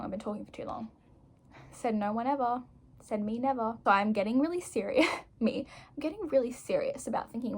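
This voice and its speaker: A young woman in her early twenties talks straight to the camera while she does her makeup. Her voice sits a touch high for a woman, and she rambles in a chatty, self-mocking way, filling her sentences with "like". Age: young adult. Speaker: vlogger. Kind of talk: casual chat while doing makeup.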